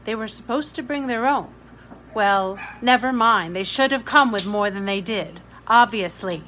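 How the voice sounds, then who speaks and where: A woman reading a storybook, voicing a bossy, iron-willed organizing lady with a crisp, brisk, authoritative manner. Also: mid-range voice, fairly bright tone, a little hiss or hum, lightly acted, poor audio. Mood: businesslike. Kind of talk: storybook read-aloud.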